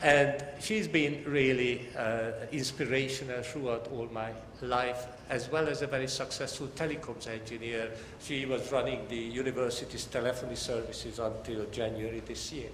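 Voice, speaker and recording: An older man from Hungary with a Hungarian accent gives a formal academic lecture as a professor, in measured phrases that often pause mid-sentence. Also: mid-range voice, fairly even intonation, a bit bright. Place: large lecture hall, talking to an audience. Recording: echoey lecture hall with background noise.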